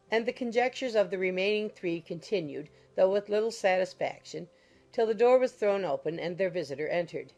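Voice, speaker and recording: A woman's voice: fairly low pitch, darkish tone. A woman reading scripted literary narration as an audiobook narrator.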